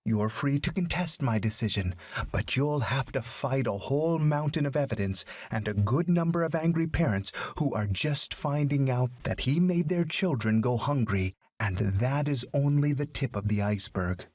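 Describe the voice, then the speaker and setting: A man's voice: mid-range voice, animated, normal speed, a little mellow, dry recording, natural voice. A man voicing a stern, resolute woman school principal with a formal, firm manner in a story.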